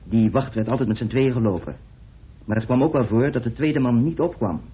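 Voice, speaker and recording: A self-assured man in a radio drama. He explains and argues assertively in a husky, mid-pitched voice, with a dry manner. The sound is thin and boxy, like an old phone line. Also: fairly quick. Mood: assertive, explaining. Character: self-assured man coolly arguing his theory of a murder. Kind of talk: radio play acting.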